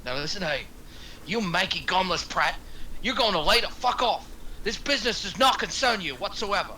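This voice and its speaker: A man plays a burly British gang boss in his fifties, contemptuous and commanding. The voice is high for a man and very forceful, with a thick accent. It is a screenplay reading with faint background noise. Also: expressive, normal speed, heavily acted, rough recording. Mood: threatening. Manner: gruff insults, commanding. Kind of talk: amateur screenplay table read.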